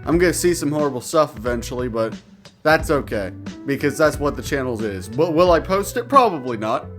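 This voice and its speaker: A man's voice: medium pitch, expressive, brisk, a bit bright, faint music behind. A man hosting a gaming channel, casually telling rambling anecdotes with playful crude slang and silly nonsense words. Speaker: gaming storyteller and channel host.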